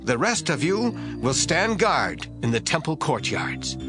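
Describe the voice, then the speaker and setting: An actor playing a stern, resolute priest giving orders in a declamatory audio Bible drama style. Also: male voice, on the high side, sing-song, a little slow. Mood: solemn, instructing. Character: commanding priest rallying his men, stern and resolute. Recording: music bed behind voice.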